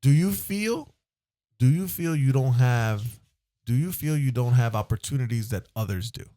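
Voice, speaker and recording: A man's voice: medium pitch, expressive, moderate pace, warm tone. A man hosting a casual podcast, teasing his guests and asking pointed questions. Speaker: host.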